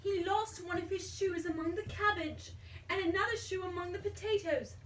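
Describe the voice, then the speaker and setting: A woman reading a children's storybook aloud in an expressive, dramatic way, pausing to put questions to a young child. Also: extremely high pitch. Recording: roomy, distant mic, faint background noise.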